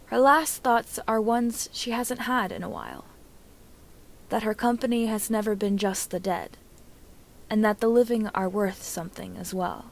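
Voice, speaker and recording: A woman's voice: medium pitch, moderate pace, gravelly. Woman reading a story aloud. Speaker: amateur audiobook narrator. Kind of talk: expressive scripted story narration.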